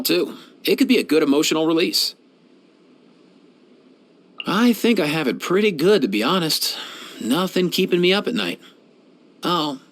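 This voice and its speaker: Chill young vet dude from a game, kinda high voice, super expressive, kind and casual. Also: male voice. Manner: casual, slangy, easygoing. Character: laid-back, kindly young male veterinarian. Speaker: video game character voice actor. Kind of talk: game voice lines, conversational.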